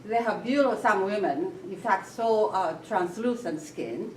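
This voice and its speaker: Woman raised in Japan, pausing speaker, echoey room, far from the mic. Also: mid-range voice, expressive. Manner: thoughtful, pausing often with fillers. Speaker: forum participant. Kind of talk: group discussion. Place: meeting room with a group. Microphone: room mic at a distance. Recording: echoey room, distant mic, faint noise.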